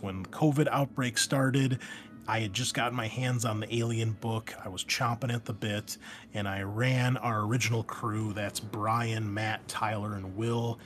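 A man hosting a casual introduction, talking in an enthusiastic, rambling way as a game master. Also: on the low side. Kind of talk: casual introduction talk to listeners. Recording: clear background noise.

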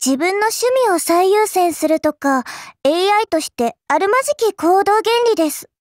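A small girl AI servant from an anime mobile game, with a very high, childlike pitch. She speaks in sweet, polite phrases with a prim, smug, appraising edge. The recording is dry, with no room sound. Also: animated, normal speed, no room sound.

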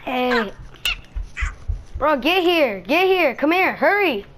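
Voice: sits high, big pitch swings, takes it slowly, background noise. A young streamer chatting casually over gameplay in a slangy, rambling way. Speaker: gaming streamer.

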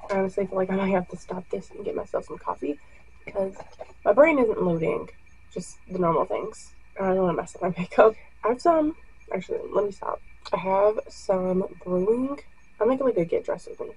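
A woman's voice: medium pitch, lively intonation, brisk, darkish tone, slightly rough, rough recording. Chatty young woman vlogging at home. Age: young adult. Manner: chatty, rambling, many fillers. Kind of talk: casual vlog talk to camera. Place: bedroom at home. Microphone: webcam or phone camera close up.

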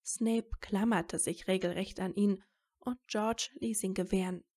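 Female narrator, mid-range pitch, scripted storytelling for audio fiction. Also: fairly even intonation, unhurried, dark tone.

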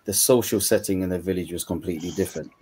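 Very deep-voiced man on a video call. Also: fast talker, a little mellow, breathy edge. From Uganda. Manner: conversational, frequent "you know" fillers. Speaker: guest mentor. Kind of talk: casual panel discussion. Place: dim room indoors.

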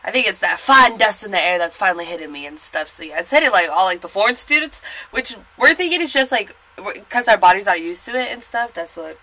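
A woman's voice: medium pitch, lively intonation, quick pace, bright, forceful tone, poor audio. A congested young woman chatting casually in a slangy, rambling way as she reacts on camera in a bedroom. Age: young adult. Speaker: reaction video vlogger. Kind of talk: casual chat, excited music video reaction. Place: small bedroom with bunk bed, indoors.

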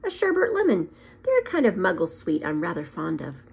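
A woman voicing a calm, whimsical old male wizard in a storybook, with a gentle, unhurried manner. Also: on the low side, creaky, a little hiss or hum, full character voice.

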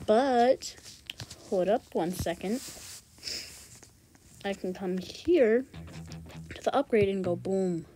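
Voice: medium pitch, takes it slowly, long pauses, dark tone, slight background noise. A gamer giving casual, slangy gameplay commentary and often repeating words and phrases. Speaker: gaming video host.

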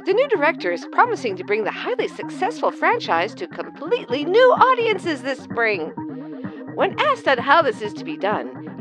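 An actress playing a polished radio arts-news presenter, reading a scripted report in an audio drama. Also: female voice, a little high. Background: music.

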